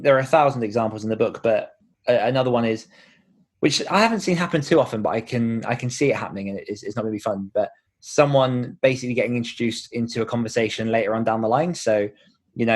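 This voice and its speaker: A man speaking casually as a podcast guest, an author who explains things in a chatty way with imagined examples. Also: on the low side. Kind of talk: casual interview conversation.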